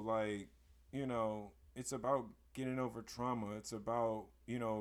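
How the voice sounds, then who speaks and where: A man with a hoarse voice hosting a casual podcast chat, emphatic and excitable. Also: lowish voice. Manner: hype, emphatic, bursts into loud exclamations. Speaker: podcast host.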